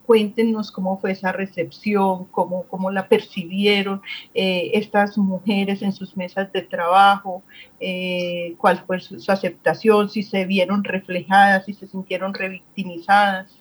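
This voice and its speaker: Halting Colombian woman interviewer. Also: medium pitch, level tone, poor audio. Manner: halting, pausing between phrases. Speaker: interviewer, co-host. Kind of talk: interview questioning.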